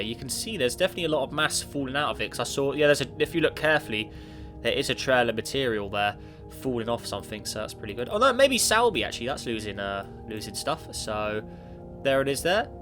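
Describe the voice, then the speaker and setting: A man's voice: medium pitch, very animated, fast, a bit bright. A man with a cold, chatting casually as he plays a space simulation game, rambling and talking back to the game. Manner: rambling, self-correcting, talks to the game. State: has a cold, sniffly. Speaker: gaming YouTuber. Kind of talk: casual gameplay commentary. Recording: clear background music. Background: background music.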